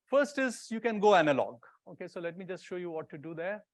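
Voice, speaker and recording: A man gives an informal technical presentation. He explains things in a hands-on way, often pausing to check that it makes sense. The sound is dry, with very little room in it. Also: high voice, very animated, dry recording. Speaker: conference presenter, radio builder.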